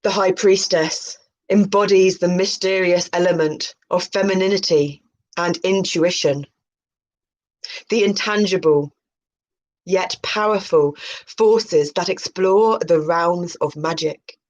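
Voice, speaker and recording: A woman's voice: fairly low pitch, slow pace, a little mellow. A woman hosting a video, reading aloud about tarot with playful, chatty spiritual asides. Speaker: video host. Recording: muffled, dull lo-fi sound.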